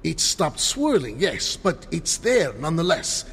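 A man's voice: fairly high pitch, big pitch swings, measured pace, fairly bright tone, in a large hall, slight background noise, full character voice. A man playing an eager, theatrical, erudite scientist-adventurer in an old-time radio style adventure drama. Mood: admiring, lecturing. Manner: dramatic, erudite, grandly enthusiastic.

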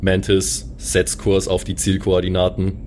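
A man's voice: low-pitched, monotone, unhurried, darkish tone. A man playing a coldly logical killbot with stiff, formal, technical phrasing in an improvised audio play, recorded with noise. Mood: cold, matter-of-fact. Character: coldly logical killbot, a terse machine bounty hunter. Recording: clearly noisy background.

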